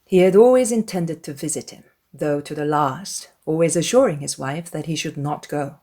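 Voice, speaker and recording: A woman's voice: deep voice, moderate pace, warm tone. A woman reading scripted literary narration as the narrator of a dramatized audiobook.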